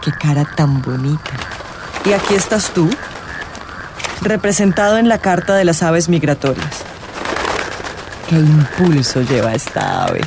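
A woman acting a mystical, teasing tarot reader in a radio drama, theatrical and suggestive, with dramatic pauses. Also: sits low, very animated, background noise, full character voice. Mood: mysterious, intent. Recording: music behind the voice. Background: music.

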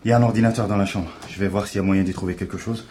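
An actor playing a wry, cautious police partner in naturalistic audio fiction, with dry, deadpan teasing. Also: male voice, lowish voice, racing, natural voice. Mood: concerned. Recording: roomy echo, clear background noise.

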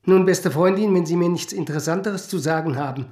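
A man's voice: high-pitched, fairly quick, mellow, echoey room, lightly acted. A man in a radio drama playing a cold, powerful financier who talks down curtly to others. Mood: dismissive. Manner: curt, condescending. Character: cold, dismissive, condescending powerful male financier. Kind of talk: German radio drama acting.

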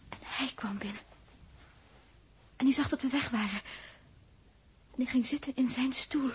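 An actress playing an anxious, easily upset young space crew woman in a 1960s radio play, speaking haltingly and emotionally. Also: high voice, slightly rough, full character voice. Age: young adult. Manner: hesitant, emotional, halting with repeated words.

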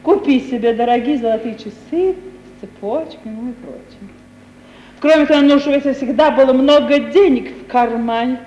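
A woman's voice: high-pitched, moderate pace, warm tone, lo-fi sound, natural voice. An actress playing a coquettish, miserly older wealthy aunt who gushes and repeats her phrases in a 1950s radio comedy. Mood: doting, bossy. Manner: gushing, repeats phrases theatrically. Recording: old, hissy, roomy radio play recording.

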